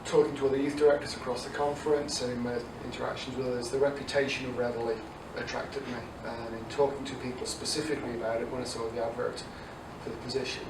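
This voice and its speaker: A man in his forties from Dublin with an Irish accent softened by English, answering interview questions conversationally as a youth ministry director. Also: medium pitch, level tone, fast, few pauses. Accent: Irish mixed with English. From south Dublin, Ireland. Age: 40s. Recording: echoey, distant mic, noisy room.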